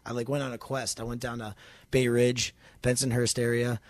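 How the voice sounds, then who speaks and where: A man's voice: medium pitch, moderate pace, dry recording. Young chatty male podcast host. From Florida. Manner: chatty, animated storytelling. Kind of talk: casual interview chat.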